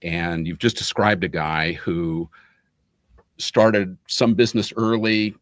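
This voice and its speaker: A man on a podcast panel asking thoughtful, academic questions in a discursive way, building slowly to his point. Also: low voice, fairly bright tone, dry recording, studio quality.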